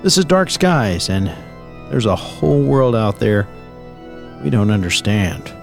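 An actor playing an earnest host of a late-night paranormal call-in radio show, measured and conspiratorial in manner. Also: male voice, on the low side, big pitch swings, creaky, over music, full character voice. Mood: hushed, conspiratorial. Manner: measured, conspiratorial, theatrical sign-off.

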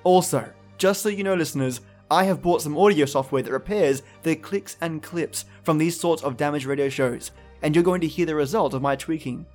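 A man introduces a podcast episode with scripted enthusiasm, speaking directly to his listeners. His voice sits a little high. Faint music runs underneath. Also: brisk, a little mellow. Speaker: podcast host.

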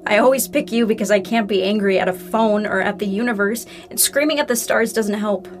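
A woman's voice: medium pitch, a little fast, noisy background, lightly acted. A woman playing a young, grieving daughter, wounded and earnest, in a naturalistic audio drama. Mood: hurt, grieving. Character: grieving daughter, wounded, angry yet willing to reconcile. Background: music.

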